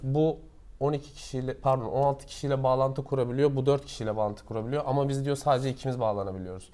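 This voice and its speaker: A man's voice: medium pitch, steady tone, fast, mellow. A man explains products casually in an interview as a knowledgeable seller, going step by step with examples.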